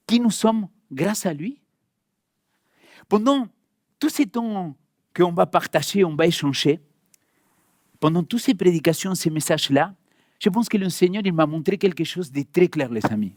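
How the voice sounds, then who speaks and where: A man's voice: high-pitched, darkish tone, no echo, clean studio sound. A man from Argentina with a light Argentine accent, preaching a sermon and talking directly to his congregation in a friendly, conversational way. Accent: Argentine Spanish. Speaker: pastor. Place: church hall.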